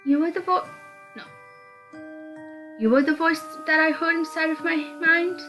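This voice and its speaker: A woman's voice: very high-pitched, steady tone, measured pace, sharp tone, faint music behind, pitched above the performer's own voice, heavily acted. A woman playing a nervous, polite young knight in a visual novel. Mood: surprised. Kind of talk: visual novel character reading.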